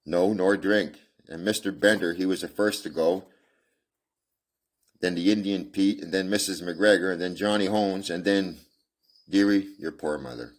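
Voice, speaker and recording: A man reading the part of a weary frontier man, gentle with a child, in a folksy drawl. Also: low-pitched, moderate pace. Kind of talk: amateur audiobook reading.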